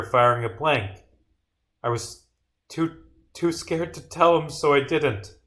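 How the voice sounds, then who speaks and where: A man's voice: medium pitch, fairly bright tone, lightly acted. Man playing a subdued, guilt-ridden young man.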